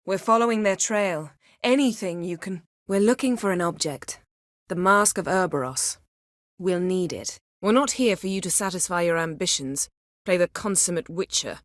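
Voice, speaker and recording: An actress playing a proud, sharp-tongued sorceress in a fantasy video game, speaking in a haughty, sardonic, clipped and articulate way. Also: female voice, lowish voice, normal speed, no room sound, studio quality, lightly acted. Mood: stern, urgent.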